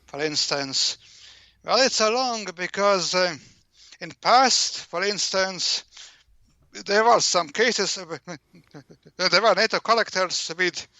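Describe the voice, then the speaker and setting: A man explaining as an interview guest, halting and repeating words over and over as he searches for the next one. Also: high-pitched, sharp tone, no room sound. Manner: halting, heavily stammered repetitions of words. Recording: dull, lo-fi call-in audio.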